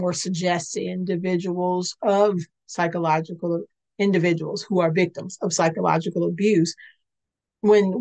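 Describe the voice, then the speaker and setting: A woman with a somewhat low voice hosts a faith-based podcast. Her tone is earnest and conversational. A slight rasp roughens her speech. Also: darkish tone. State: slightly raspy voice. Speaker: podcast host and licensed clinician.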